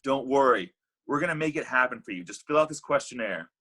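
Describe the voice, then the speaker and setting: A grown-up, sarcastic Cupid played by a man in a comedy table read. The voice is husky and bright, swinging freely up and down with a brash, defeatist edge. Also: medium pitch, lively intonation, fairly quick.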